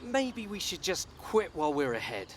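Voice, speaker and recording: An actor playing an exasperated, hapless small-time schemer in a radio soap. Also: male voice, high-pitched, very animated, normal speed, slight background noise. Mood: exasperated, deflated.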